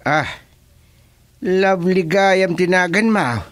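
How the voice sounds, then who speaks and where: An actor playing a flustered, hesitant village chairman in a radio comedy drama, with an Ilocano accent and halting, stammering speech. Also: high-pitched, a bit bright. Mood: flustered, awkward.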